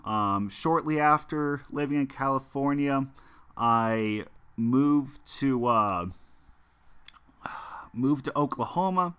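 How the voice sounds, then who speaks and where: Young us guy streaming a game, bright clear voice, rambly and full of ums. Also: mid-range voice, slow, long pauses. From United States. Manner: rambling, many fillers and restarts; chatty, talks to viewers while playing. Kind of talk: casual gameplay stream chat.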